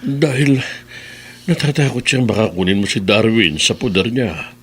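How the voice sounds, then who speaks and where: A man's voice: lowish voice, lively intonation, moderate pace, fairly bright tone, slightly rough. A man playing a concerned older fatherly figure in a Filipino radio drama, solemn as he explains. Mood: grave, concerned.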